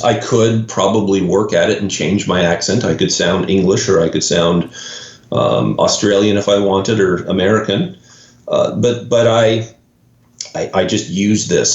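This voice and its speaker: A man from Canada with a Canadian accent, a guest in an interview, who explains ideas thoughtfully with examples and often restarts his phrases. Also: low voice. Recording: roomy, dull lo-fi audio.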